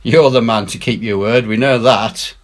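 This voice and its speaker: A man voicing a stern, sneering gentleman ship's doctor in a storybook, speaking formally and gruffly. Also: medium pitch, fairly even intonation, moderate pace, close to an everyday voice. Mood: curt. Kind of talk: dramatic storybook character reading.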